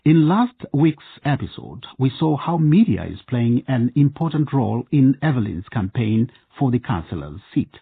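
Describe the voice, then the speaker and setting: A man's voice: mid-range voice, sing-song, moderate pace, gravelly, hardly any pauses, slightly rough, no room sound. A man narrating a radio drama from a script. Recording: tinny, phone-like narrow band.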